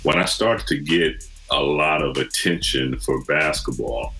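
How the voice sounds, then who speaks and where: A man's voice: rumbling low, a little slow, creaky, bright, forceful tone, soft background music, low-quality recording. A man in his forties from Michigan, a podcast guest telling his story in an earnest, reflective way. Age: 40s. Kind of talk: casual conversation.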